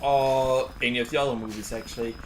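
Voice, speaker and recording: A man giving casual game commentary as a streamer, thinking aloud in a halting way. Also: mid-range voice, fairly even intonation, a little hiss or hum, low-quality recording. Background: game music.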